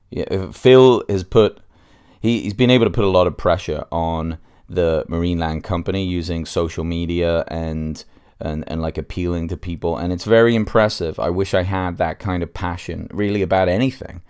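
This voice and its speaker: A man's voice: sits low, a little mellow, clean tone. A man hosting a casual solo podcast review, congested with a cold and speaking in an informal, hesitant way.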